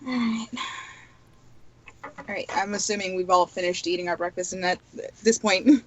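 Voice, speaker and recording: A woman's voice: medium pitch, fairly even intonation, fairly bright tone. Chatty woman player from Texas. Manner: chatty, quick to laugh. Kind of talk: casual tabletop play.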